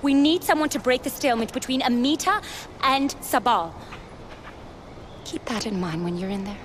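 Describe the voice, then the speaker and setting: An actress playing an earnest female rebel fighter in a video game cutscene, hushed and persuasive. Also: fairly high pitch, expressive, moderate pace, lightly acted. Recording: audible background noise.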